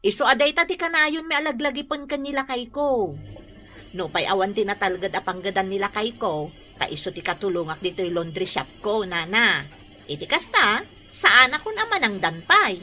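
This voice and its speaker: An actress with an Ilocano accent playing a brisk, firm married laundry shop owner in an emphatic, expressive radio drama style. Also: female voice, medium pitch, faint music behind. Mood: friendly, practical.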